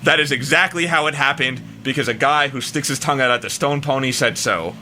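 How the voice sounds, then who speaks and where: A man's voice: mid-range voice, brisk, background noise. A man giving a comic reading with joking, offhand asides. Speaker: comic reader.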